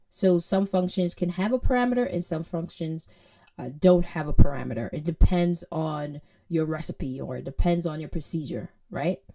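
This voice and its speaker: Jamaican woman doing a chill coding tutorial, kinda low voice, chatty and playful, keeps asking if you're still with her. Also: mellow. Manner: playful, chatty, checks in with listeners often. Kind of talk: casual screen-share tutorial.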